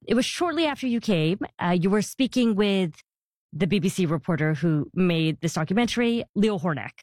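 A woman's voice: medium pitch, lively intonation, normal speed, a little mellow, dry recording, studio quality. A woman hosting a radio interview, asking probing questions. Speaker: host.

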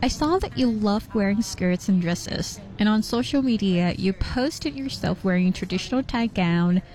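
A woman interviewer asking measured questions, one at a time. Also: medium pitch, lively intonation, background noise.

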